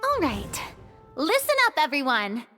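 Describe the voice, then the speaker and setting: A young film director in a video game. Her voice is very high and theatrical, full of self-important grandeur, ringing in a hall over faint music. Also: wildly swooping pitch, measured pace, bright, forceful tone, exaggerated voice. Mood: commanding. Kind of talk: video game character acting.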